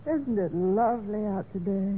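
An actress playing a trusting, lonely young heiress in a vintage radio mystery, speaking with earnest, genteel gentleness. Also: female voice, medium pitch, moderate pace, narrow, tinny audio, close to an everyday voice. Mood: earnest, tender. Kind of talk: 1940s-50s radio mystery acting. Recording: noisy band-limited old radio recording.

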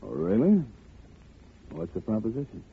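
A man's voice: on the low side, big pitch swings, moderate pace, husky, exaggerated voice. An actor playing a slick, slangy male racketeer with a terse, insinuating manner in a 1950 radio drama. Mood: quietly menacing. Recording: tinny, noisy old radio recording.

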